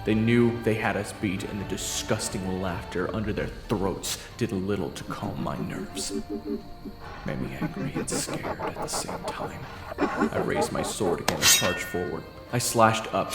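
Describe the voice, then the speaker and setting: Low voiced guy narrating a roleplay action scene, roomy echo, music blasting underneath. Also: normal speed. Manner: dramatic, storytelling. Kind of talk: first-person audio roleplay narration. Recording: echoey room with loud music.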